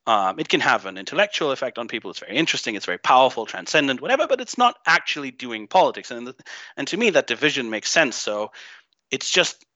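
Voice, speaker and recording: A man from Greece with an unusual mixed accent, talking as an interview guest in a halting, emphatic, opinionated way. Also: mid-range voice, very animated, pushed and bright, no room sound. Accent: unusual mixed foreign-tinged. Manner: halting, emphatic, thinking aloud. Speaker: podcast guest, game writer and designer. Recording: dull, band-limited online audio.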